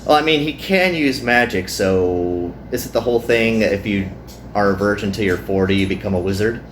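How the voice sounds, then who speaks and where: A man's voice: fairly low pitch, fairly quick. A man doing a casual visual novel playthrough, reading aloud and adding joking, self-deprecating commentary. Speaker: let's player. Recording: roomy echo, some background noise.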